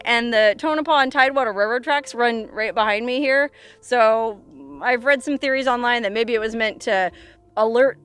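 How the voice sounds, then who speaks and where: A woman talking casually to camera outdoors, chatty and animated, with slangy wording, as the host of a vlog. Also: on the high side, clear, resonant tone. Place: outdoors on a desert hilltop.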